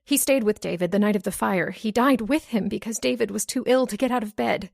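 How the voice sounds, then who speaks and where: An actress playing an anxious, frightened widow in an old-time radio horror drama, agitated and given to exclaiming. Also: female voice, medium pitch, a little fast, gravelly, a little mellow. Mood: anxious, haunted.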